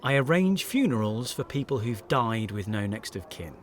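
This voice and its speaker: A mid-pitched, very expressive voice: a man playing a young British actor down on his luck in an audio play. He is self-deprecating and insecure, talking naturally with small hesitations. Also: moderate pace, a little hiss or hum, lightly acted. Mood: defensive. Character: struggling, self-deprecating jobbing actor John, frustrated and insecure. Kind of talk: naturalistic audio drama dialogue.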